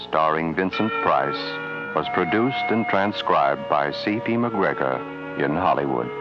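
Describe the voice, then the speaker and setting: Very deep-voiced radio announcer, a man. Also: expressive. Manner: measured, formal. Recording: muffled vintage radio with music.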